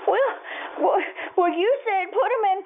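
A woman's voice: very high voice, measured pace, a little hiss or hum. A woman playing a flustered, scatterbrained 1950s store clerk in an amateur radio play, stammering and exclaiming. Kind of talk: amateur old-time radio play acting. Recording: phone-like stage recording with audience.

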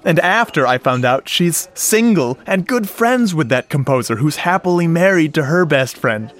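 A man's voice: a little high, animated, moderate pace, fairly bright tone. An actor playing a lovestruck, self-doubting audio geek, narrating his romance in short, confiding phrases for a radio drama. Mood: excited.

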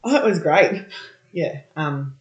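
A woman's voice: deep voice, expressive, slow pace, dull audio. An Australian woman in her thirties, a podcast guest, talking casually and laughing often. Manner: chatty, laughs often, many ums and yeahs. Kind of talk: casual interview talk. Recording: roomy echo.

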